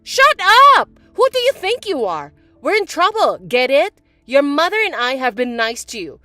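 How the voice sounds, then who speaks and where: A woman voicing a bratty, spiteful young stepsister who talks in a shrill, mocking, bossy way. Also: sing-song, normal speed, fairly bright tone, no echo, soft background music. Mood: angry. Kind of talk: voiced text-message drama.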